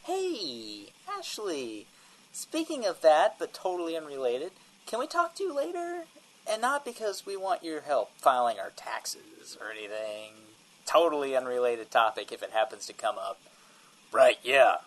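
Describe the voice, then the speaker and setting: A man's voice: medium pitch, big pitch swings, unhurried, rough recording. A man playing a shifty, defensive field reporter in a comedic audio drama, rambling and repeating himself evasively.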